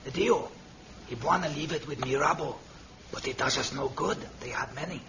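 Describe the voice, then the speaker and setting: Guy as loyal stolid sidekick, halting clipped talk, old radio drama, super noisy. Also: mid-range voice, vocal fry, highs cut off, lightly acted. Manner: halting clipped phrases, speaks in third person. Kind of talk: vintage radio serial acting. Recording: very noisy vintage radio recording.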